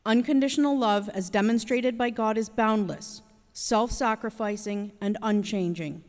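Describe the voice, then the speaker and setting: A woman reading a devotional reflection in a measured, steady way. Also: medium pitch. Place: church sanctuary. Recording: long hall reverb in a church.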